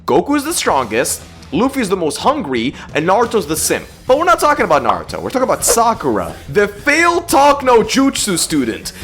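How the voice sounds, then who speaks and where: A young adult YouTube host roasting in a sarcastic, mocking scripted commentary, jumping between loud outbursts and low mutters.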